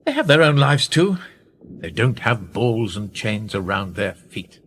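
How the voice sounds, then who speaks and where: A man playing a genial, wry gentleman receiving a visitor in a radio play, courteous and philosophical in manner. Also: fairly low pitch, big pitch swings, unhurried, breathy edge, full character voice.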